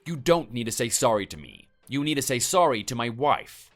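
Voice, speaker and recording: A stern, menacing husband, played by a man in a skit, lecturing and threatening his neighbor. His voice swings widely in pitch as he scolds. Faint music plays under him. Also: expressive, moderate pace, fairly bright tone, lightly acted.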